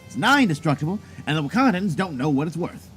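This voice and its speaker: A man's voice: fairly high pitch, sing-song, fast, fairly bright tone, faint music behind. An actor playing a glib, cocky inventor and wheeler-dealer in an audio drama, with a salesman's patter.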